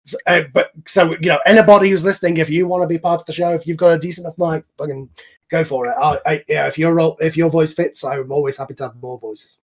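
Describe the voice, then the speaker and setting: Male host, fairly high-pitched, loose and informal, rambling conversational delivery for an online cast chat. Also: steady tone, fast talker. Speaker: host and series creator. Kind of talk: casual group chat, hosting. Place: online voice call.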